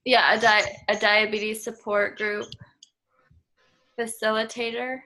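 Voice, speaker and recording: A woman's voice: fairly high pitch, level tone, slow, pushed and bright, low-quality recording. A young American woman speaking casually as a participant in a student discussion. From Idaho, United States. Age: young adult.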